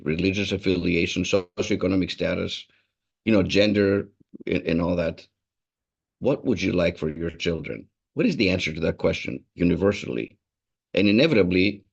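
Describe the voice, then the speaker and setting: Native Greek speaker, gravelly and low, reflective keynote manner, muffled call audio. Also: male voice. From Greece. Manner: measured, storytelling, with many restarts. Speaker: wellness and happiness speaker. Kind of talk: reflective talk on a video call. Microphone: video call.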